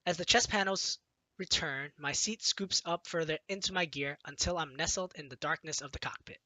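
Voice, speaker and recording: Bright-voiced man reading a game aloud. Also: fairly high pitch, normal speed, low-quality recording. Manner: first-person narration with light laughs. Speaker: let's-play reader. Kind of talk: reading a visual novel aloud.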